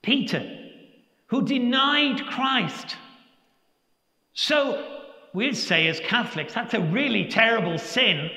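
An older man, a priest giving a Sunday homily in a large church, preaching slowly and reflectively with emphatic pauses. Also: very high voice, lively intonation, sharp tone. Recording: echoey church with long reverb.

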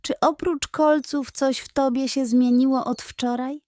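Kobieta grająca figlarnego, mądrego lisa. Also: male voice, very high-pitched, fairly even intonation, a little slow, a little mellow, no echo, clean studio sound, pitched above the performer's own voice. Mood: reassuring. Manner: teasing yet reassuring. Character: friendly, playful, wise young male fox.

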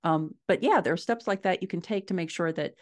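A middle-aged woman giving measured, explanatory answers as a guest author in a conversational interview over a video call. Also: on the low side. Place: small furnished room at home, indoors.

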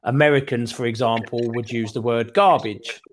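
A middle-aged British man tutors English over a headset on a video call. He talks in a relaxed, chatty way from a small room, often restarting his sentences with a repeated word. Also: medium pitch, moderate pace, slight background noise. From United Kingdom. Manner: chatty, repeats words when starting a thought. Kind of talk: casual conversation lesson.

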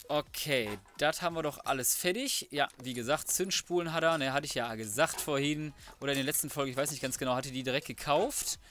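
A man's voice: medium pitch, a bit bright. A man giving casual, colloquial game commentary, muttering to himself as he works through tasks. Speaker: let's play host. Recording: clear background noise, mouse clicks.

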